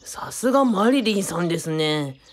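A woman's voice: on the low side, animated, normal speed, lightly acted. A woman playing a fussy, talkative male guru in a radio drama, polite and theatrical with a self-important manner. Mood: admiring. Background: birdsong.

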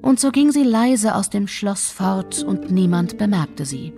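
A woman narrates a fairy tale at a middle pitch. She tells it in a measured, expressive way, with music clearly playing behind her.

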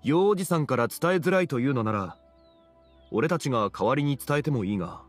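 A male actor as a composed young gentleman of an anime game. His mid-range voice is measured and courteous, with formal, old-fashioned phrasing that sounds wise beyond his looks. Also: medium pitch, animated, faint music behind, lightly acted. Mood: thoughtful, reassuring.

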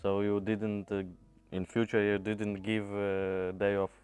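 Latvian host, low smooth voice, halting English with lots of uh's, studio set. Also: male voice, flat delivery, a little mellow, faint music behind. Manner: hesitant, many uh fillers. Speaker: podcast host. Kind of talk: casual interview in non-native English. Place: dark studio set with red armchairs.

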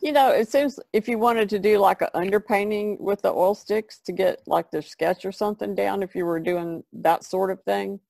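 Woman podcast guest explaining stuff casually, gravelly fry, close dry sound. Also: mid-range voice, normal speed, hardly any pauses, a little mellow, no room sound. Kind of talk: casual conversation explaining craft.

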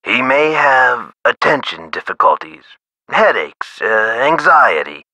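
A man's voice: medium pitch, big pitch swings, unhurried, bright, forceful tone, no echo, full character voice, rough recording. A man playing a chatty, eccentric hospital nurse in a video game, explaining things with theatrical, whimsical emphasis. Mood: earnest, explanatory. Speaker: nurse character in an adventure game.

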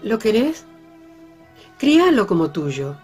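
A woman's voice: medium pitch, big pitch swings, takes it slowly, a little mellow, soft background music. An actress playing a composed, discreet lady of a ranch-owning family in a radio drama, with a Rioplatense accent. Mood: persuasive. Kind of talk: radio soap opera acting.

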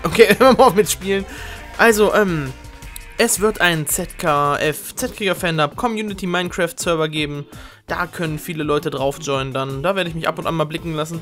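A man's voice: fairly high pitch, fairly bright tone. A man hosting a Minecraft game show casually and comically, informal, sweary and giggly in his exasperation. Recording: music behind the voice. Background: music.

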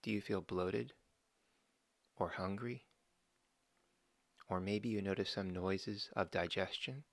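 A man slowly and calmly guiding a body scan meditation, giving gentle step-by-step instructions with long pauses. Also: deep voice, dry recording. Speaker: meditation guide.

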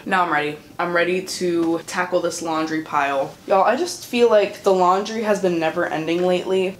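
A woman's voice: fairly low pitch, a little hiss or hum. A woman in her early twenties chatting frankly to the camera in a casual vlog from her bedroom, swearing now and then. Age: early 20s. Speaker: vlogger. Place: bedroom at home, indoors. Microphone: camera on a stand, she moves around the room.

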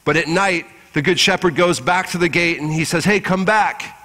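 Male pastor, 40s, slightly high voice, earnest measured sermon delivery, long church reverb. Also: bright, forceful tone. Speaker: pastor preaching. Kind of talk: Easter Sunday sermon with scripture reading. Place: large church sanctuary with congregation. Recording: reverberant church hall through PA.

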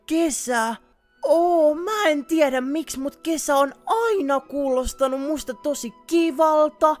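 A woman voicing a naive, friendly little male snowman in an animated film fan dub. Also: high-pitched, soft background music, close to an everyday voice. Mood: cheerfully naive.

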